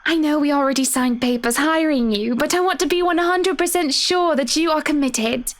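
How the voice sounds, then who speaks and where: An actress voicing a gracious, doting married woman in a visual novel, solicitous and effusive, with a gently chiding manner. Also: high voice, normal speed, lightly acted.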